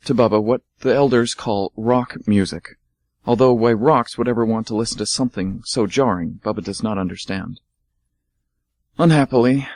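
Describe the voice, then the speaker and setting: A man narrating an amateur radio drama in a calm, even storytelling voice. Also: medium pitch, dry recording.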